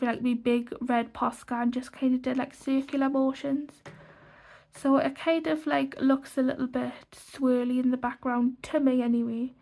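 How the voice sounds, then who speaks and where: A woman's voice: high-pitched, vocal fry. Stuffy-nosed young woman chatting. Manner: rambling, many "like" fillers and restarts. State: stuffy from a cold. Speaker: colouring channel host. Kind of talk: casual sketchbook flip-through chat.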